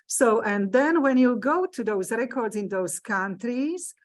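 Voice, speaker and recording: A woman with a Slovak accent lectures at an unhurried, measured pace, her voice sitting in a middle register. She pauses often on fillers and phrases things the way a non-native English speaker does, explaining patiently to a live room. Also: on the high side, lively intonation. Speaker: genealogy presenter. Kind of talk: hybrid library lecture. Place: meeting room with live audience.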